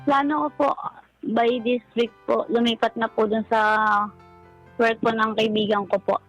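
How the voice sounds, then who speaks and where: Filipino woman, somewhat high pitch, radio caller, polite, deferential, casual personal account, music bed. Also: little pitch movement, measured pace, highs cut off. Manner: polite, deferential, uses "po" constantly. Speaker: caller seeking relationship advice. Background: background music.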